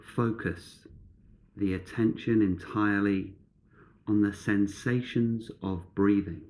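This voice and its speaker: A man guiding a meditation in measured, instructive short phrases with pauses between them. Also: lowish voice, fairly even intonation, darkish tone. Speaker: meditation guide.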